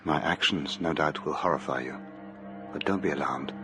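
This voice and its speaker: An actor playing a tormented, formal gentleman voicing his desperate letter in a radio drama. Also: extremely low pitch, moderate pace, music in the background. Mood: haunted resolve.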